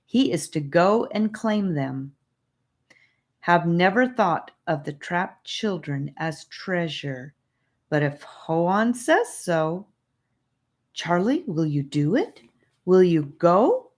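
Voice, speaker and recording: A woman's voice: on the low side, sing-song, slow, full character voice. Woman voicing an emphatic young girl messenger. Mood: earnest, worried. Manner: emphatic, breathless urgency. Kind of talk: audiobook character voice.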